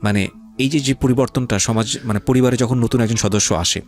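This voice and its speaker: বাংলাদেশি টানে কথা বলা পুরুষ উপস্থাপক. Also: male voice, mid-range voice, fairly quick, a little mellow. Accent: Bangladeshi. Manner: emphatic repetition, mixes English phrases into talk. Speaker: interview show host. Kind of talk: reflective conversational interviewing.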